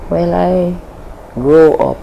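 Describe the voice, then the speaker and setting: A Jamaican woman speaks at a very slow, measured pace, her voice gravelly with fry. She tells a long history as an interview guest, deliberate and restarting her sentences. A steady hiss sits under the recording. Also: lowish voice, muffled recording. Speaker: interview guest, Maroon leader. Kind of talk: unhurried storytelling in an interview.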